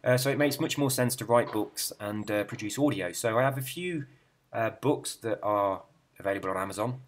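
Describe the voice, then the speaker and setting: A middle-aged man chatting casually, rambling and easily sidetracked as he browses a website, with a soft, relaxed way of speaking. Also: fairly low pitch, brisk. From England. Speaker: YouTuber and hypnotist.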